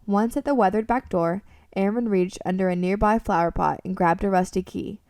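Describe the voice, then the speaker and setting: A woman's voice: mid-range voice, normal speed, a little mellow, clean studio sound. A woman reading scripted story narration for an amateur radio drama, recorded in a room a little away from the microphone. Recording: roomy, slightly distant microphone.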